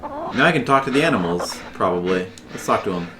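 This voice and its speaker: Low-voiced man casually streaming a game. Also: expressive, brisk, noisy background. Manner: muttering, thinking aloud. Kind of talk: casual gameplay commentary. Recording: game music behind the voice.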